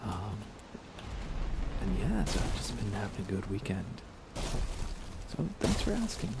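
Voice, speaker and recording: Soft-spoken male ASMR game streamer, deep and crackly, murmuring unhurriedly close to the mic over audible background noise. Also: big pitch swings, creaky. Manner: gentle, unhurried, thinking aloud. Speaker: live streamer, host.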